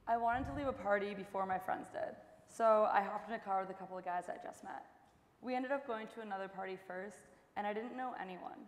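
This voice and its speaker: A woman's voice: medium pitch, fairly quick, lightly acted. A young woman playing a shaken college student recounting incidents in a staged monologue. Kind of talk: staged readers theater monologue. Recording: very echoey hall.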